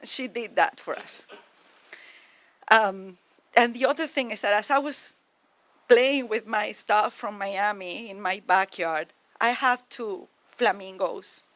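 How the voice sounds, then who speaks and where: A woman giving a memorial tribute to a neighbor and friend, reminiscing fondly with pauses. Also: medium pitch, a bit bright, dry recording, poor audio.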